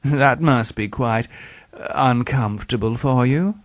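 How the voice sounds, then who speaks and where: Man playing an arrogant, sardonic villain surgeon, raised pitch. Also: slow pace, no room sound, close to an everyday voice. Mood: mocking. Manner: sardonic, theatrical, falsely affable. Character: arrogant, mocking murderous male surgeon.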